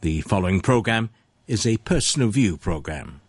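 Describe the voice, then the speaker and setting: A male radio announcer gives a formal programme introduction. His voice sits low, and the sound is dry and close to the mic. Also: animated, normal speed, slightly rough, no room sound.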